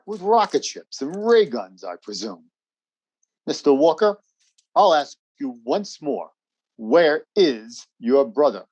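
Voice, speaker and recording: Officious major with swooping pitch. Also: male voice, big pitch swings, slow pace, a little mellow, no echo, dull audio, full character voice. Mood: skeptical, stern. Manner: formal, insistent. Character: officious, skeptical military major pressing for answers. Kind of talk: old-time radio drama acting.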